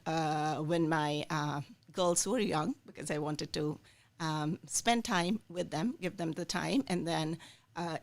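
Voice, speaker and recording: A middle-aged woman from India, a dietitian, telling her life story as a podcast guest, with many fillers. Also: lowish voice.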